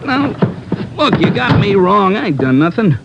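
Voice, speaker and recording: Mouthy ex-con, tough street talk, voice swinging all over, hissy dull old radio sound. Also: male voice, high voice, very animated, moderate pace. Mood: nervous. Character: surly ex-convict man, defiant then nervous. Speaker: suspect. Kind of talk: 1950s radio crime drama acting.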